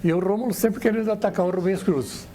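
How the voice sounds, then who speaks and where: A Brazilian man recalling the past casually, in an animated, halting storytelling manner. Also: high voice, faint music behind. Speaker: radio veteran being interviewed. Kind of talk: casual recollection.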